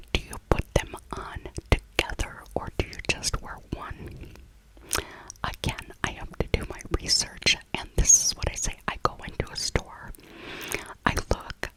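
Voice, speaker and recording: A middle-aged woman speaking in a breathy whisper, narrating an ASMR shopping voiceover in a rambling way and softly repeating her phrases. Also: rough recording. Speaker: ASMR video creator.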